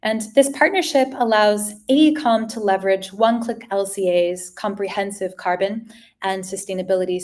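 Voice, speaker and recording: A woman's voice: medium pitch, darkish tone. Upbeat woman hosting a webinar. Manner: upbeat, scripted introductions with fillers. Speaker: webinar host.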